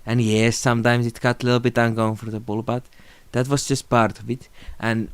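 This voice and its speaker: A young Finnish man speaks calmly and reflectively into a close phone or webcam in a small room. His voice is smooth and clear, at a middle pitch. The sound is dry, with no room echo. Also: a little fast. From Finland. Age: young adult. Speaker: video creator. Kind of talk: unscripted tribute talk to camera.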